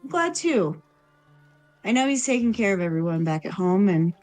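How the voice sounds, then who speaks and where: A woman's voice: medium pitch, very animated, normal speed, darkish tone, faint music behind, lightly acted. A woman playing a caring, thoughtful village woman in a tabletop fantasy game, speaking hesitantly. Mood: warm.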